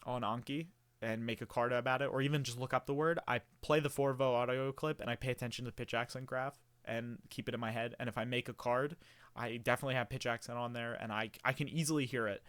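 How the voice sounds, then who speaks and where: A man's voice: mid-range voice, fairly bright tone, no echo. A man in his early twenties talking casually to camera in a meandering, rambling way, with many fillers and restarts. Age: early 20s. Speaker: vlogger.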